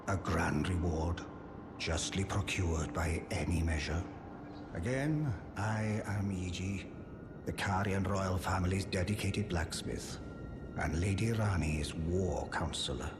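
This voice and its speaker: Old war counselor for a game, grave and gracious, deep voice, very unhurried delivery, clear music behind. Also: male voice. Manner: grave, formal, deliberate. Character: courteous, dignified old male blacksmith and war counselor. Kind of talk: video game voice acting.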